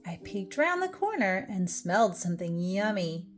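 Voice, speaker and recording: A woman reading a rhyming children's storybook aloud, with expressive, deliberate phrasing. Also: mid-range voice. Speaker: storybook reader. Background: music.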